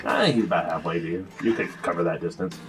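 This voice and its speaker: A man's voice: low-pitched, fast. A man acting as game master, casually refereeing a tabletop role-playing session. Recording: music behind the talk. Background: music.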